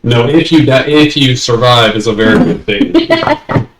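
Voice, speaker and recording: A man's voice: medium pitch, wildly swooping pitch, moderate pace, over a noisy crowd. A man playing in a tabletop game who also runs games himself, talking eagerly and with lots of energy. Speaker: player, also a game master. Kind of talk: casual tabletop game chat. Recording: echoey room, far from mic.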